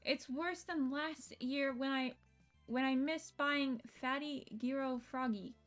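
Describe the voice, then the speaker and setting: A woman's voice: high-pitched, steady tone, slow. A woman streaming a visual novel, reading it aloud expressively and breaking off into casual, reactive asides. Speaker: game streamer. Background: game music.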